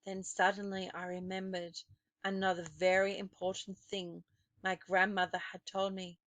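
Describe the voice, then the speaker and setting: A woman narrating a children's story expressively, with dramatic, deliberate phrasing. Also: mid-range voice, completely flat delivery, a bit bright, dry recording.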